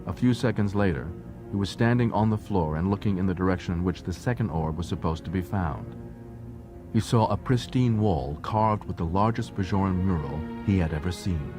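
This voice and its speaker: A man with a fairly low voice narrates dramatic fiction as an audiobook reader. The delivery is scripted storytelling. Music runs clearly behind him. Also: normal speed.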